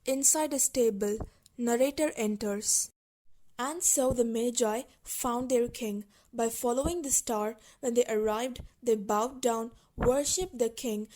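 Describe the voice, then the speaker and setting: Mellow, higher-pitched woman narrating. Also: rough recording. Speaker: narrator of a school puppet play. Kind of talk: scripted narration, reading stage directions aloud.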